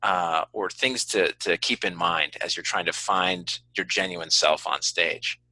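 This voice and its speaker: A man's voice: low-pitched, a little slow, sharp tone, no room sound, rough recording. A man interviewing a guest in a casual, conversational way as a podcast host.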